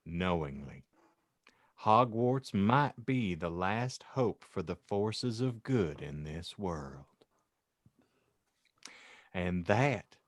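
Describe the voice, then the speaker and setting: Male narrator, theatrical comedy read, unhurried pace, wide swings in pitch. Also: dry recording, studio quality. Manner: mock-earnest, theatrical. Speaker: podcast host and narrator. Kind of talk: comic dramatic audiobook narration.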